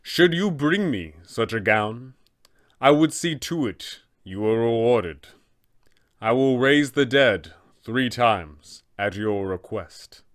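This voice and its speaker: A man voicing a serene but sinister abbot in a fantasy tabletop game, speaking in a measured, formal, solemn manner. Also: mid-range voice, expressive, fairly bright tone. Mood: serene, coaxing. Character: serene, sinister male abbot, secretly an angel. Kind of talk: fantasy tabletop roleplay villain dialogue.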